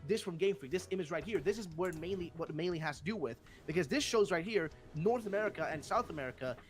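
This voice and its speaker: A man's voice: high-pitched, animated, fast, faint music behind. A young man with a Swedish accent, hosting a casual discussion and rambling with many fillers and restarts. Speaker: host.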